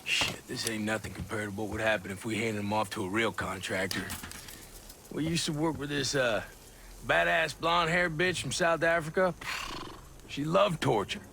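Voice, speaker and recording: Game cutscene role: a crude, threatening hired gun with a gravelly voice. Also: male voice, mid-range voice, normal speed, bright, forceful tone, slight background noise. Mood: taunting. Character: brash crude male mercenary, bullying. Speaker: mercenary contractor. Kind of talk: video game cutscene acting.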